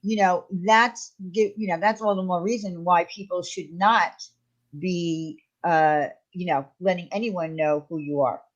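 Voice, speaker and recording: Lower-pitched woman livestreamer, slightly muffled. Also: fairly even intonation, a little slow. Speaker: livestream host. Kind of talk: casual chat with live viewers.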